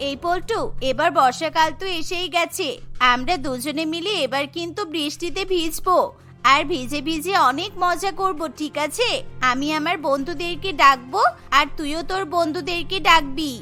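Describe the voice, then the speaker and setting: A woman's voice: very high-pitched, moderate pace, pushed and bright, soft background music. A woman voicing an innocent little girl in a cartoon, with a pleading, childlike manner. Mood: eager. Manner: plaintive, pleading.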